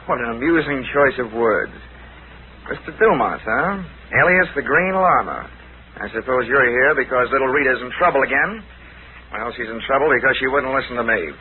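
An actor playing a sardonic, bourbon-loving idler in a 1940s radio drama, with a languid, flippant manner. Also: male voice, medium pitch, expressive, normal speed, close to an everyday voice. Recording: tinny noisy vintage radio recording.

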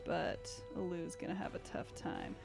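A woman playing a tabletop role-playing game, lively and quick to laugh. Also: lowish voice, creaky, over music. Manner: lively and giggly. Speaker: player. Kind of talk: casual tabletop game play.

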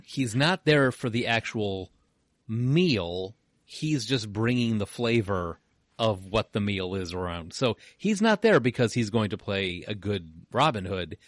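A man's voice: fairly low pitch, animated, a little slow, no echo, studio quality. A man in casual podcast chat about films, playful and fond of comic analogies. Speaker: podcast co-host. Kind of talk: casual movie review chat.

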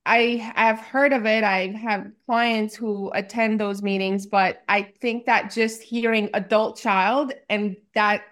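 A woman in her forties, from Romania, talking openly as a podcast guest. Her speech is chatty and loose, filled with little fillers and easy laughter, with a middle-pitched voice. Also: little pitch movement, takes it slowly, hardly any pauses, a bit bright. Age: 40s. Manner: open, chatty, frequent likes and laughs. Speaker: guest, life coach. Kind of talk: casual interview chat.

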